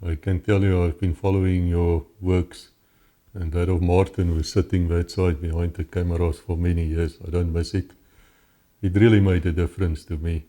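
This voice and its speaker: A man's voice: low voice, little pitch movement, moderate pace, mellow. A man with a South African accent, telling his life story as an interview guest, earnest and often restarting his words. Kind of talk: personal testimony in conversation.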